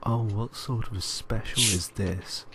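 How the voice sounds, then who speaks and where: A man's voice: lowish voice, fairly even intonation, unhurried, a crowd in the background. A man co-hosting a casual podcast, bantering in a skeptical, teasing way. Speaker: podcast co-host.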